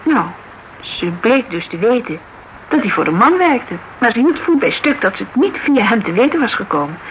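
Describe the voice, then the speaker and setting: Shrewd young Dutch woman, radio crime drama, animated melody, colloquial, emphatic, dulled sound with light noise. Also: a little high, normal speed, dull audio. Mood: animated recounting. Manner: colloquial, emphatic, thinking aloud. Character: lively young woman, shrewd, talkative associate of a private detective.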